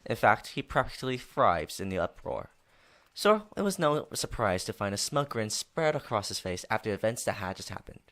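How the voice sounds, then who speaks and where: A man's voice: lowish voice, sing-song, moderate pace, fairly bright tone. A man playing a wry storyteller, narrating a scripted audio drama. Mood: wry, storytelling. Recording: noisy background, vehicle-like ambience.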